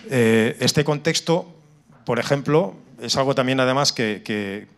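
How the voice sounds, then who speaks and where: Middle aged guy from spain w/ castilian accent doing a conference talk, explains stuff haltingly, medium pitch. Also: gravelly. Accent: Spanish from Spain. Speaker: conference speaker on cybersecurity. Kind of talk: live conference presentation with slides. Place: indoor conference room with seated audience. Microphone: handheld microphone.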